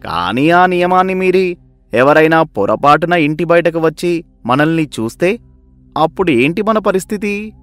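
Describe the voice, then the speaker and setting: A man voicing a young adult son who questions his father eagerly and joins his scheme, for an animated story. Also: fairly high pitch, moderate pace, no echo, faint music behind, close to an everyday voice. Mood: curious. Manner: inquisitive, eager.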